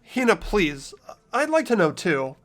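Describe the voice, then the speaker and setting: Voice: medium pitch, big pitch swings, unhurried, a bit bright, soft background music. A voice actor doing an earnest young detective. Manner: earnest, inquisitive. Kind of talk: fan voice acting of a game.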